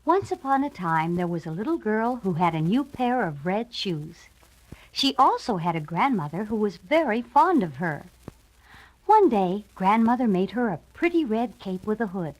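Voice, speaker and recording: Animated woman narrating a children's storybook. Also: medium pitch, moderate pace, poor audio. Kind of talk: scripted children's read-along narration.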